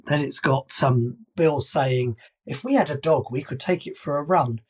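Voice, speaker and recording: A man's voice: medium pitch, moderate pace, a little mellow, husky. A man co-hosting a podcast in casual discussion, reading out excerpts from an old radio script. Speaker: podcast co-host.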